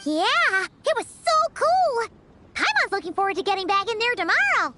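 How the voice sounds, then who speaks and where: A woman voicing a small, childlike, excitable fairy companion who is cheeky and chatty in a video game. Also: moderate pace, heavy background noise. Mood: excited. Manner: squeaky, exclamatory, refers to self in third person.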